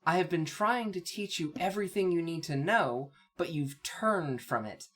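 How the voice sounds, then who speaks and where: A woman voicing a regal, disappointed pony princess in a comic dub, lecturing her student in a measured, reproachful manner. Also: on the low side, lively intonation, slightly rough. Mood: stern, reproachful. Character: stern, regal adult female alicorn princess, disappointed mentor.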